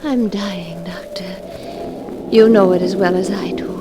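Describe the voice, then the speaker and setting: An actress playing a young, possessive dying wife in 1940s radio melodrama, intense and breathless. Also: female voice, lowish voice, steady tone, measured pace, over music, lightly acted. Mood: weak, possessive. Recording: noisy 1940s radio drama recording.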